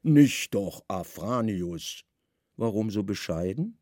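An actor playing a stern, scheming Roman governor in a radio play, imperious and measured, with insinuating undertones. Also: male voice, lowish voice, lively intonation, a little mellow, no echo, clean studio sound. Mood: probing. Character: stern, commanding Roman governor, slyly plotting, middle-aged man.

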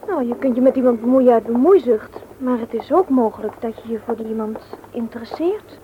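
A woman's voice: a little high, fairly quick, narrow, tinny audio, a little hiss or hum, lightly acted. An actress playing a teasing, condescending young woman in a radio drama, with a mocking, haughty manner. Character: sharp, teasing young dental assistant, condescending.

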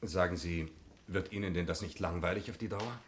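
A man's voice: deep voice, brisk, close to an everyday voice. An actor playing a suave, courteous man with hesitant interjections in a 1960s German radio drama. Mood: teasing. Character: suave, polite man hiding unease, Reggie. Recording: roomy studio sound with faint noise.

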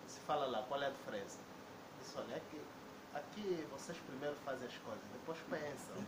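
A man's voice: mid-range voice, a little fast. A man, joining a public debate with a hesitant, anecdotal way of speaking. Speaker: debate participant. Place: debate hall indoors. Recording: echoey room with background noise.

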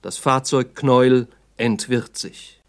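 Sober, medium-pitched male radio narrator. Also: steady tone, slow pace. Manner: sober, factual, report-like. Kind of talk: scripted documentary-style narration in a 1960s radio play.

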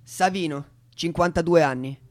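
A man giving a theatrical dramatic reading as a stage narrator, with solemn, measured phrasing. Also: a little high. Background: acoustic guitar music.

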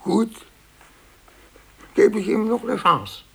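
An actor playing a bitter older male office employee with a raspy voice, hesitant yet insistent, in a 1950s German radio play. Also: high voice, animated, takes it slowly, a bit bright, full character voice. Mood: hurt, defiant. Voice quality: raspy, husky. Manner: hesitant, insistent, repeats himself.

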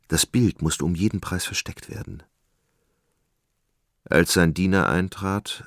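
A man with an extremely deep, creaky voice narrates a literary radio drama. His delivery is measured and solemn, recorded dry with no room sound. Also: no room sound.

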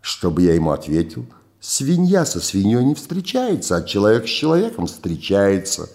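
A man's voice: fairly low pitch, wildly swooping pitch, normal speed, darkish tone. An older man voicing a swaggering Odessa gangster boss who talks in a theatrical, mock-reasonable way. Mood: mock-reasoning.

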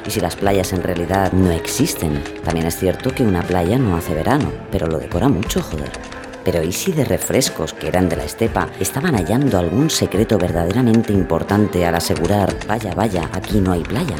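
An actor playing a wry, suspicious blogger in scripted radio fiction, delivering an ironic, mock-pompous monologue. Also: male voice, low voice, animated, brisk. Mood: conspiratorial musing. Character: wry, suspicious male blogger from inland Spain. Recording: music bed behind the voice.

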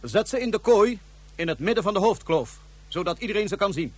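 A high-pitched, slightly husky voice of a ruthless ruler on Mars. He speaks formally and imperiously, with cold command, in a science fiction radio play. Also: animated, fairly quick, dry recording. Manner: formal, commanding, feigned humility.

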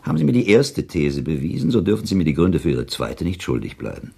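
An ironic skeptic in a radio play. His extremely low, husky voice moves quickly and with lively inflection, dry and clipped as he needles his partner. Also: full character voice. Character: skeptical, ironic male listener needling a storyteller. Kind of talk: German radio drama acting, dry dialogue.

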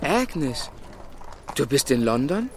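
An actor playing an earnest, ardent young gentleman in a German period radio drama. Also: medium pitch, big pitch swings, takes it slowly, background noise. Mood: warm, troubled. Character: earnest, lovestruck young gentleman of Victorian England. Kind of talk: German radio play acting and narration.

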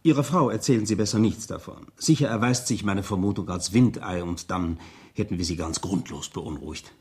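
Low, husky, cautious police inspector. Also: male voice, a little fast, a little mellow. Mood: grave, cautioning. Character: courteous, cautious police inspector giving grave warnings. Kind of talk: radio crime drama acting.

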